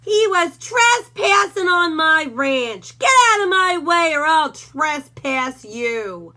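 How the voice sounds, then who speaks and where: A woman voicing a cocky, menacing male Doberman who growls out slow threats. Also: high voice, expressive, pushed and bright, pitched above the performer's own voice, heavily acted. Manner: snarling, drawn-out threats.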